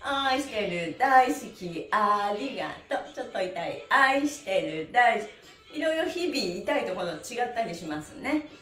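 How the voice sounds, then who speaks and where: A woman's voice: mid-range voice, very animated, a little slow, a bit bright. A middle-aged woman leading a livestreamed stretching class, cheerfully calling out instructions and rhythmic chanted counts. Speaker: stretching instructor and pantomime teacher. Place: small indoor studio with bare wall. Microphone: camera a few metres away. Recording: roomy, distant microphone, faint music.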